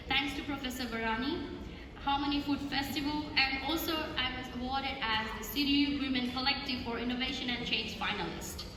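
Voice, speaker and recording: High-voiced woman speaking in echoing hall. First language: not English. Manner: earnest, grateful, listing achievements. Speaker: graduation valedictorian speaker. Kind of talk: prepared speech. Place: large ceremony hall. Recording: echoey hall from far off, noisy.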